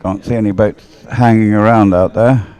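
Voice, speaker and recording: A man giving live race commentary in a low, very mellow voice. He thinks aloud in a hesitant, slightly puzzled way as he follows the boats. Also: steady tone, normal speed, clear, resonant tone. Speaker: sports commentator.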